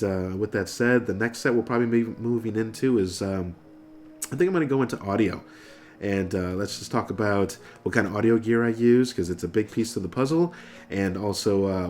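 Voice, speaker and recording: A man's voice: on the low side, darkish tone, slight background noise. An American man in his thirties, nasal from getting over a cold, casually explaining things to camera as a video host. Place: furnished room indoors.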